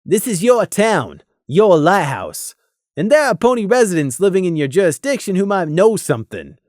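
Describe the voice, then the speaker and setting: A woman's voice: on the low side, very animated, moderate pace, a little mellow, dry recording, studio quality, voice pushed up. Woman doing a brusque bossy stallion sergeant, burdened, clipped, from an audio story. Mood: commanding. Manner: commanding, clipped. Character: Gloom, brusque, burdened male pony sergeant.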